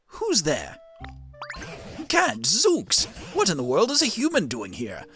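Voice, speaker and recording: Streamer putting on old plant-creature professor, jovial, quaint, swooping pitch, video game character, faint music. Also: wildly swooping pitch, takes it slowly, a bit bright, heavily acted. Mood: startled curiosity. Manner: chuckling, quaint, genteel exclamations. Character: jovial old professor made of rustling vines.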